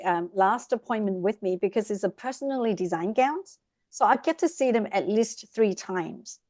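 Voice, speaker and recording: Podcast guest: middle-aged woman, expressive storyteller, dry close sound. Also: medium pitch, no echo. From Vietnam. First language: Vietnamese. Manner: lively, expressive, speeds up in bursts. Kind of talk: casual interview storytelling.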